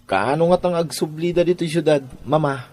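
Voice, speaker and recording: A man's voice: fairly high pitch, moderate pace, a little mellow, close to an everyday voice. A man playing a downcast, lovesick college-age son in a drama podcast, with an Ilocano accent. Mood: anxious, protesting.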